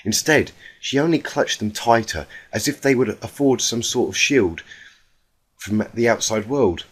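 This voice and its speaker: A man's voice: mid-range voice, expressive, measured pace, slightly rough. A man narrating scripted fiction as a dramatic story reader.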